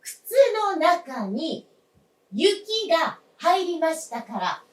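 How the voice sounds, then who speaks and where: An actress playing a polite, solicitous young wife in a staged radio drama, speaking formally and coaxingly. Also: female voice, extremely high pitch, sing-song, drawn-out words, exaggerated voice. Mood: solicitous, projecting. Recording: echoey stage, distant microphone.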